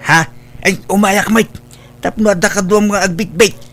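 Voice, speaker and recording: A woman's voice: mid-range voice, steady tone, moderate pace, slight background noise, natural voice. A woman playing a feisty, protective elderly grandmother in an Ilocano audio drama, with an Ilocano accent. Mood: stubborn, chatty.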